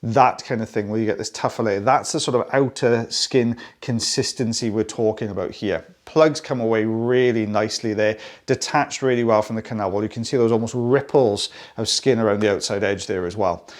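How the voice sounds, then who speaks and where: A man with a Welsh accent, an audiologist narrating an ear procedure in a friendly, explanatory way and turning aside to talk to viewers. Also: fairly low pitch. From South Wales. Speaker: audiologist presenting ear wax removal.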